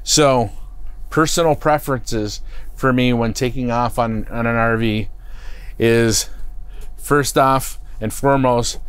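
A middle-aged man talks slowly through a how-to demonstration from the driver's seat of a parked motorhome. His voice is smooth and clear at a middle pitch, picked up close on a lapel mic. He explains in a plain, stop-start way, with fillers and restarts. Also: fairly even intonation, dry recording. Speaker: product expert. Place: inside a parked motorhome cockpit.

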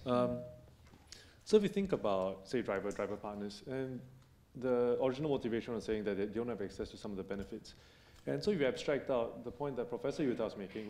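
Man in his thirties, panelist, low-pitched and measured, in a roomy hall. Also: dark tone. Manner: measured, argumentative, restates points. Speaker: company panelist. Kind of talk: panel discussion. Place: conference stage in a hall. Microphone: handheld mic. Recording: echoey hall.

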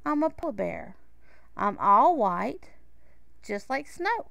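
A woman voicing a shy, wistful young male polar bear in a children's storybook, hesitant and quiet in manner. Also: a little high, very animated, takes it slowly, vocal fry, no room sound, exaggerated voice. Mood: wistful, sad.

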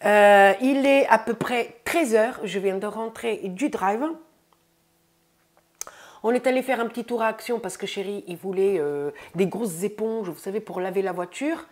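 A woman in her thirties with a stuffy nose from a cold, chatting casually to her viewers about her groceries in a bright room, recorded on a lapel mic. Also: mid-range voice, measured pace. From France. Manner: chatty, says "les amis" often, upbeat. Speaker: vlogger. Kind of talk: casual grocery haul vlog. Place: bright room indoors by a window.

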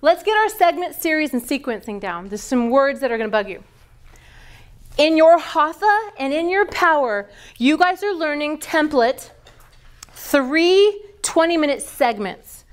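A middle-aged woman teaching a yoga teacher training class, lively and quizzing her students with questions. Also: high voice, measured pace. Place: large wooden-floored yoga studio. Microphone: far camera.

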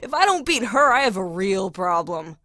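A woman's voice: medium pitch, very animated, normal speed, background noise, lightly acted. A woman acting in an audio drama as a caring, self-doubting young woman, in a natural style. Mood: wry, nervous. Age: young adult.

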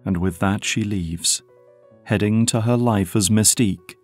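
A man's voice: fairly low pitch, unhurried, faint music behind. A man reading scripted narration for an audio drama.

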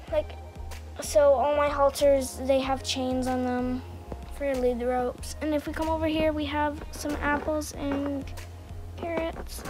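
Young woman rambling through a show-and-tell. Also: high-pitched. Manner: rambling, with many ums and restarts. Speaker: toy horse collector. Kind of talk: casual show-and-tell tour. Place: indoors at home, beside a toy stable on the floor. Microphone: handheld phone camera.

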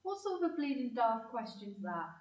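Woman as a defiant independent daughter, higher voice, dull distant sound, amateur audio drama. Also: big pitch swings, normal speed, a little mellow. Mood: blunt, questioning. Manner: blunt, defiant. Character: feisty, blunt working-class young woman, independent. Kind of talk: amateur community audio play. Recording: distant, echoey, dull hall recording.

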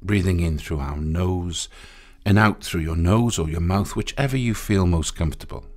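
A man guiding a meditation in a soothing, unhurried way, pausing between short phrases. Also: low-pitched, a little mellow. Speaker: meditation guide. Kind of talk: guided meditation. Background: music.

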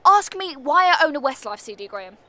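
A woman's voice: sits high, sing-song, fairly quick, sharp tone, slight background noise, heavily acted. A woman with a British accent playing a sardonic, defiant neighbour in an audio comedy play, brusque and sarcastic.

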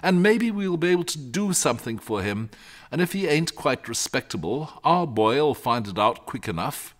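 A man's voice: mid-range voice, sing-song, normal speed, pitched above the performer's own voice. A man voicing a sensible, kindly country mother in a storybook, homely, rustic and reassuring. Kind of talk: storybook character reading.

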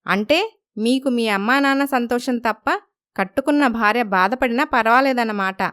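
A woman playing an emotional, insistent young wife in an animated moral story, given to reproach. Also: on the high side, moderate pace, smooth, dry recording, clean studio sound, close to an everyday voice. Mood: hurt, reproachful.

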